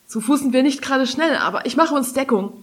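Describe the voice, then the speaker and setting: Casting: wary female fantasy companion read by a woman narrator, practical, clipped, urgent, pitched up from narration. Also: brisk, natural voice.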